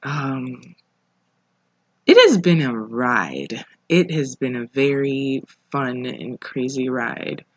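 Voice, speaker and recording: A podcast host speaking casually alone, in an informal, reflective way with pauses between phrases. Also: mid-range voice, big pitch swings, no echo. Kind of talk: casual solo podcast monologue. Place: living room at home.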